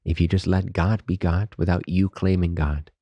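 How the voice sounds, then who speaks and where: A man guides a meditation in a very low, mellow voice. He speaks softly and calmly, leaving long pauses between reverent phrases. His delivery stays very even, close and dry. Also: no echo, lo-fi sound. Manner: calm, unhurried, reverent spiritual phrasing. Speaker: meditation teacher.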